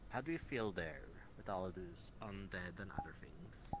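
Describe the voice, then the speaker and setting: A man playing a measured, protective doctor with strange powers in an improvised online roleplay, speaking formally. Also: deep voice, expressive. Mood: calm, assured. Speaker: roleplay player. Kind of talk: improvised in-character roleplay chat. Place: virtual reality voice chat. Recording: noisy online voice chat.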